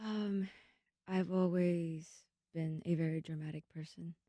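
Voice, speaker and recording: A woman's voice: on the low side, steady tone, unhurried, mellow, no room sound. A young woman, a storyteller, chatting earnestly in a radio interview with some hesitation. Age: young adult. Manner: hesitant, earnest, with fillers and restarts. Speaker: storyteller and massage studio owner. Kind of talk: casual interview chat. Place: radio studio.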